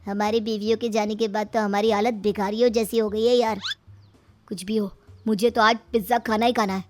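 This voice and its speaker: A woman's voice: medium pitch, flat delivery, fairly quick, slight background noise, lightly acted. A woman voicing lazy, freeloading young sons-in-law in a breezy, wheedling manner for a comic story. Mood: grumbling.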